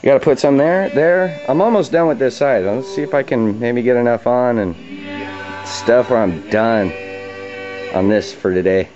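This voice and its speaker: A dull, lo-fi recording with radio music faintly behind a man of average pitch. He talks like a guy in his workshop, casual and slangy, walking viewers through a hands-on job in plain words. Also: moderate pace. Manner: informal, plain-spoken, slangy. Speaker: DIY auto body repair demonstrator. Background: radio music playing in the background.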